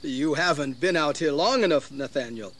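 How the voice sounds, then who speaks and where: A man's voice: a little high, lively intonation, normal speed, a bit bright, slight background noise. An actor playing a shrewd colonial frontiersman in a history audio drama, persuading in an insinuating, theatrical way. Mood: sly persuasion. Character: shrewd, scheming colonial frontiersman urging rebellion.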